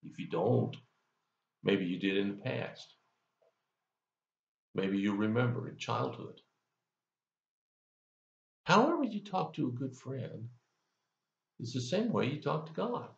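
Older man, gravelly folksy devotional. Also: mid-range voice, lively intonation, slow, pauses often, echoey room, dull audio. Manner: folksy, conversational, asks the listener questions. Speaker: pastor. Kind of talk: devotional sermon. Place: office, indoors, seated in leather chair.

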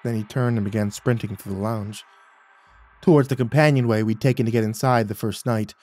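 A man narrating a first-person horror story in a tense, halting way with stammered restarts. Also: fairly low pitch, fairly even intonation, a little mellow. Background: faint music.

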